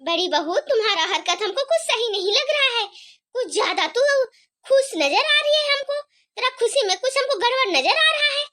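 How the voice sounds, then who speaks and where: A woman's voice: very high-pitched, animated, normal speed, pushed and bright, heavily acted. A woman voicing a stern, suspicious older mother-in-law who nags and scolds the household in a homemade family drama skit. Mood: suspicious, bossy. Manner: scolding, nagging and commanding.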